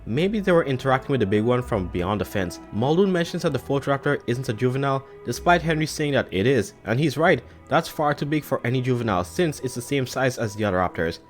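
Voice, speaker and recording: Fast-talking male commentator over music. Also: mid-range voice, lively intonation. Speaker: video commentator. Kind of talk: casual analytical fan commentary. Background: background music.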